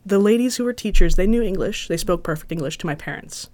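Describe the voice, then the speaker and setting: A woman's voice: mid-range voice, expressive, quick pace, a little mellow, no echo. An American woman guest telling stories of her childhood, chatty and self-deprecating, laughing often. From United States. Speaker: podcast guest. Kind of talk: casual storytelling interview.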